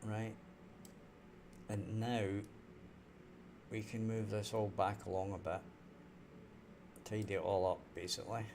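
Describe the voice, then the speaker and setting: A young man with a toned-down Scottish accent talks himself through his work on a live game development stream. He speaks slowly and in a low-key way, his voice sitting a little low. A faint hum runs behind him. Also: level tone, gravelly. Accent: softened Scottish. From Scotland. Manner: thinking aloud while working, low-key. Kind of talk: live coding stream talk.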